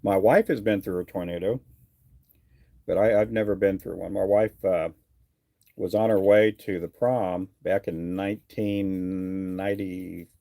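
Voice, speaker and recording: A middle-aged man from Texas talks through viewer comments in a loose, unhurried ramble, stopping often on little fillers. His voice sits fairly low, with a gravelly edge and a dark, mellow tone. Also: steady tone, dry recording, studio quality. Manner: easygoing, rambling with many uh fillers. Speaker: fishing livestream host. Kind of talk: casual live chat reading viewer comments.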